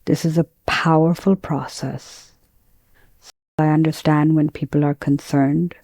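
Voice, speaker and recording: A woman teaching guided meditation, speaking in a calm, gentle, measured way with pauses between phrases. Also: deep voice, no echo.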